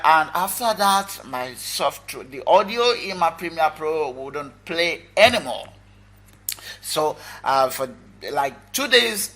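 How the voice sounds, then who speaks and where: Guy doing a casual how-to video, takes it slow, sharp bright voice, short chatty phrases. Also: a little high. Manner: informal, addresses viewers as guys, talks through steps in short phrases. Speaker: software tutorial host. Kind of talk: casual screen-recorded tutorial talk.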